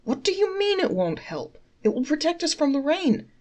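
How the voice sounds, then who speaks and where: A woman reading as a foolish storybook sage, indignant, baffled, raised high pitch, exclaiming. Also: very animated, normal speed, darkish tone. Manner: exclaiming, questioning. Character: umbrella-less sage of Chelm, indignant, baffled old fool.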